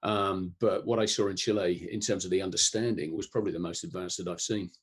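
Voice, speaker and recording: A European man answering interview questions in a thoughtful, explanatory way, pausing often with ums and restarts. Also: sits low, fairly even intonation, husky. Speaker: guest, disaster resilience expert.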